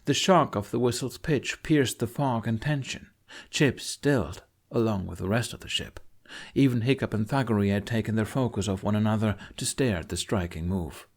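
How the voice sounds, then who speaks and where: A man's voice: lowish voice, moderate pace. A man reading dramatic fiction as a scripted audiobook narrator.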